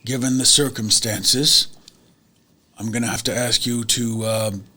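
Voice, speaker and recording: A man playing a dim-witted, officious country cop in a tabletop roleplay, earnest and controlling, speaking slowly and haltingly. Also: medium pitch, steady tone, sharp tone.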